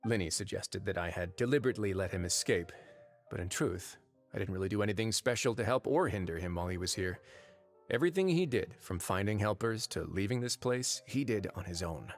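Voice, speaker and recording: Low, composed, shrewd commander. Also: male voice, expressive, soft background music. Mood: calm, explanatory. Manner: measured, formal, wry. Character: composed, shrewd man in charge of a fortress. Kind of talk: scripted video game voice acting.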